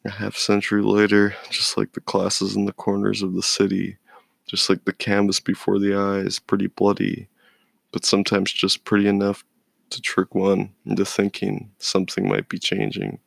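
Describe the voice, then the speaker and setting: A man reciting spoken-word poetry as a poet, in a brooding, measured, dramatic delivery. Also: fairly low pitch, completely flat delivery, gravelly, dry recording.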